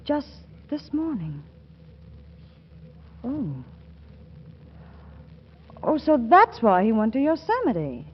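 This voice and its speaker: Breezy, high-voiced 1940s radio wife. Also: female voice, wildly swooping pitch, slow, long pauses, a little hiss or hum, exaggerated voice. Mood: surprised, resolute. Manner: breezy, self-possessed. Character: poised, breezy long-lost wife returning home. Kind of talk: 1940s radio comedy acting. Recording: muffled 1940s radio recording.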